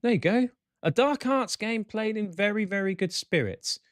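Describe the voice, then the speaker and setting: A man hosting a football fan show, reading out match stats and player ratings with chatty asides in a croaky voice. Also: sits high, lively intonation, moderate pace, dry recording, clean studio sound. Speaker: football podcast host. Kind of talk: casual fan-show commentary.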